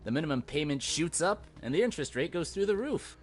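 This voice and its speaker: A man playing a sardonic young man, lively pitch. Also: fast talker, soft background music, natural voice. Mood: bitter, guilty. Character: guilt-ridden young man, a bitter house employee. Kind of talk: video game voice acting.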